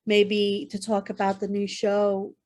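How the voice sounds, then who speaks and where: A woman's voice: mid-range voice, monotone, a little slow, noisy background. A woman co-hosting a podcast, chatting casually and using many filler words. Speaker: podcast co-host.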